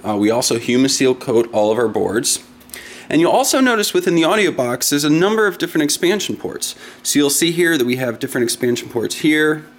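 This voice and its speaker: A man presents a product demonstration in a medium-pitched voice. He talks in an explanatory way, laying out each point step by step, as a demonstrator would. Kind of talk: semi-scripted product demonstration.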